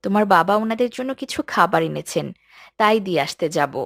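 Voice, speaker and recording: A woman voicing a calm, kindly mother in a cartoon, speaking gently and with measure. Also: mid-range voice, fast talker, creaky, no echo, studio quality, pitched below the performer's own voice. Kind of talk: cartoon dialogue.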